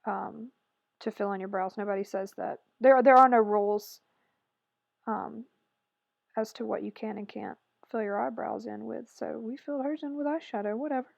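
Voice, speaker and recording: Raspy-voiced woman doing a chatty voice-over. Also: fairly high pitch, normal speed, dry recording, muffled recording. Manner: chatty and rambling, with self-correcting asides. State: raspy, hoarse voice. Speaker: makeup tutorial host. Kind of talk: casual tutorial voice-over. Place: voice-over recorded separately from the video.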